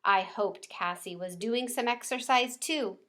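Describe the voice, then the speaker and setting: A woman's voice: a little high, a little slow, a bit bright. A woman narrating a children's book as a read-aloud storyteller.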